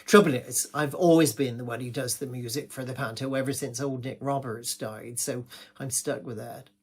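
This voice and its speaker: A man's voice: mid-range voice, fairly even intonation, moderate pace, breathy edge. A man acting a gossipy village pantomime pianist. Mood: gossipy, confiding. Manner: confiding, rambling, gossipy, with malapropisms. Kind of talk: theatrical comic monologue.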